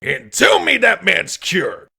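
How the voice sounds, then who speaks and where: A man playing a gruff, disgusted police detective in a comic dub, blunt and indignant, with a raspy voice. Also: high voice, huge pitch swings, slow pace, bright, forceful tone, heavily acted. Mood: outraged, disgusted. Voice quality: gravelly, raspy.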